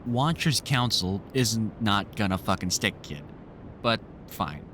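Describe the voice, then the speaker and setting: A man's voice: on the low side, expressive, slow pace, sharp tone, slight background noise, exaggerated voice. A man playing a wry, grumpily fond vampire hunter in a conversational audio roleplay, teasing and swearing through rambling explanations. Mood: mock annoyed.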